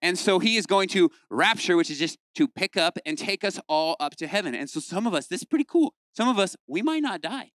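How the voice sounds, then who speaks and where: A man's voice: sits high, lively intonation, brisk, few pauses, fairly bright tone, no echo. A young man preaching a casual, playful sermon to youth and acting out quoted voices. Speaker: youth pastor.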